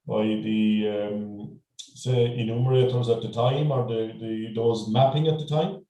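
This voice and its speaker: An Irish man hosting a local history meeting over a video call, speaking haltingly with many fillers and restarts. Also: fairly low pitch, fairly even intonation, dark tone, reverberant. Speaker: meeting host. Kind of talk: hesitant moderating on a video call.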